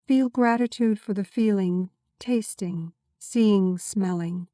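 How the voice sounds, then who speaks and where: A woman narrating a scripted guided meditation in a soothing, measured, instructive way. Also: medium pitch, dark tone, no echo, studio quality.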